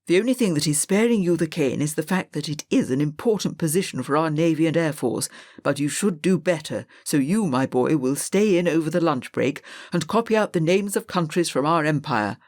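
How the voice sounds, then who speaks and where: A woman's voice: low-pitched, expressive, brisk, darkish tone, no echo. A stern older schoolmaster, voiced by a woman narrator, bullying and proud. He speaks in haughty, clipped demands as a storybook villain would, recorded dry. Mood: stern, scolding. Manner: barking, haughty interrogation.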